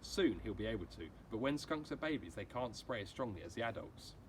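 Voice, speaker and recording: A man voicing a calm, patient mother and vet in a children's storybook, explaining things gently. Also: on the low side, fairly quick, close to an everyday voice. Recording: clearly noisy amateur recording.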